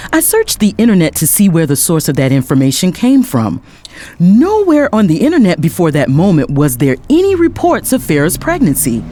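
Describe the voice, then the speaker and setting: A woman playing an indignant lawyer who narrates her own story in the first person, dramatic and expressive, with faint background noise. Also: deep voice.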